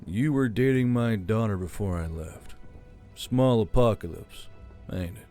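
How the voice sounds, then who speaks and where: A man's voice: on the low side, measured pace, dark tone, soft background music, lightly acted. A man playing a weary, stern militia leader burdened by guilt in an audiobook drama. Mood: hard, restrained.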